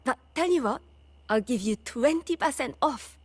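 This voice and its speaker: A woman's voice: high-pitched, animated, moderate pace, no echo. A woman voicing a feisty, dramatic young inventor and big sister in a video game. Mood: eager, friendly. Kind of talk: animated game voice acting.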